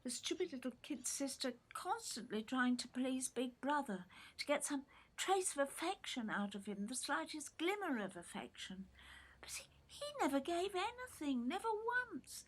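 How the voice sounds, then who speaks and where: An actress playing a hurt, resentful grown daughter in an audio play, with an English accent and an emotional, pleading manner. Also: female voice, high-pitched, very animated, moderate pace, fairly bright tone, rough recording. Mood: wounded. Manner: emotional, pleading, bitter emphasis. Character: hurt, resentful grown daughter, long overlooked little sister.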